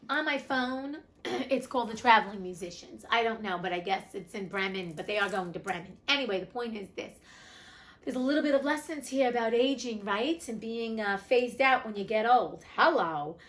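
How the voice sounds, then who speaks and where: A woman's voice: fairly high pitch, normal speed, a bit bright. A woman reading a classic fairy tale aloud, breaking off for chatty, irreverent asides with casual swearing. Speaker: host reading classic stories.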